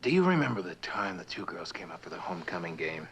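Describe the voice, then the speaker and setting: A man's voice: low-pitched, big pitch swings, fast, a bit bright, slightly rough, full character voice. An actor playing a loyal, steadfast man in a vintage television drama, speaking earnestly and insistently to an old friend. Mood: coaxing, nostalgic.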